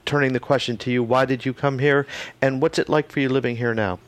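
A man hosting a radio interview, putting conversational questions in a halting, roundabout way. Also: medium pitch, fairly even intonation. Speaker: radio show host, interviewer.

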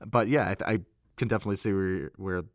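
A man's voice: lowish voice, fairly even intonation, fast, dry recording, studio quality. A man chatting casually as a voice actor guest, agreeable, with stop-and-start phrasing. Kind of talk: casual chat.

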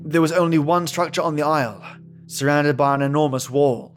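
Male storyteller for first-person horror fiction. Fairly high voice, dramatic scripted read, music underneath. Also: fairly even intonation, a little fast. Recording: voice over background music.

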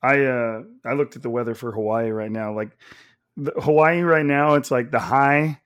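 Hoarse, raspy man hosting a podcast. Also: medium pitch, normal speed, no room sound, clean studio sound. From Central California. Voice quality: raspy and a bit nasal. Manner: talks loudly, energetic. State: hoarse from coaching. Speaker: podcast host. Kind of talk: casual sports podcast chat.

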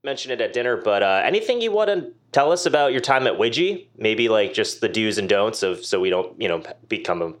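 An actor playing a clueless, glib and overeager entrepreneur in a comedy podcast, stammering through rambling patter in a naturalistic way. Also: male voice, fairly low pitch, lively intonation, fairly quick, hardly any pauses, lightly acted. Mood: nervous, probing. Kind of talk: naturalistic improvised-sounding comedy podcast acting.

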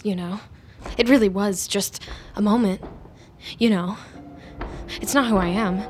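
A woman's voice: medium pitch, natural voice. A woman acting in audio fiction as an anxious, guilt-ridden, obsessive office worker who rambles hesitantly and apologetically. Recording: music bed under the voice.

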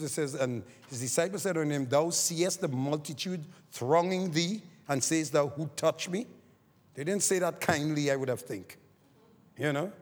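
A man from Barbados with a Barbadian accent, preaching a sermon, moving from quiet scripture reading to fervent exhortation. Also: on the high side. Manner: reads scripture quietly, then rises to fervent shouted preaching. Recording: long hall reverb.

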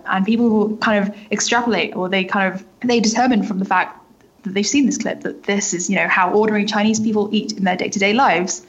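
A husky-voiced woman gives explanations as a journalist guest. Her phrasing is loose, full of hedges and fillers, at a medium pitch. The recording sounds dull and lo-fi. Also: steady tone, brisk. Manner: hedging, filler-heavy explanations. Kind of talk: interview explanation. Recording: dull, lo-fi audio.

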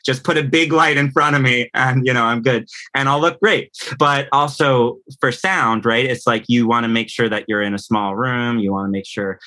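A man's voice: mid-range voice, moderate pace, hardly any pauses, bright, forceful tone. A man in his thirties talking casually as an interview guest, explaining things and often checking in with the listener. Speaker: composer and audio producer guest.

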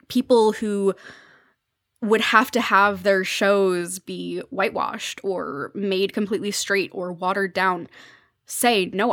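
A woman's voice: mid-range voice, fairly bright tone, dry recording, clean studio sound. A woman speaking conversationally as a podcast guest, explaining things in a thoughtful, articulate way.

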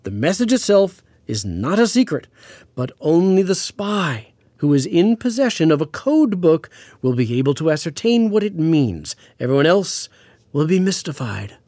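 A learned astrophysicist in a Cold War radio drama, with a mellow, lightly high voice and lively intonation. He explains patiently and precisely, a touch pedantic, in a measured interview manner. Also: no echo, studio quality. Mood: measured, grave. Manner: pedantic, measured, hesitant with hedging asides. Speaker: astrophysicist guest on a radio show. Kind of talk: audio drama acting as a radio interview guest.

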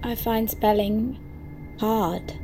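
A woman acting an earnest, naive, literal-minded companion in an audio drama, speaking in a simple, guileless, deliberate way. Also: mid-range voice, steady tone, music in the background, poor audio. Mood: puzzled, meek. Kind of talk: naturalistic audio fiction acting.

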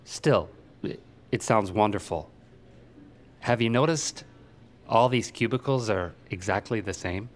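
An actor playing a timid, naive and eager new emergency dispatcher who stammers hesitantly in an audio drama. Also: male voice, lowish voice, a little slow, long pauses, slight background noise, close to an everyday voice. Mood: naive wonder. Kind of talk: fiction podcast acting.

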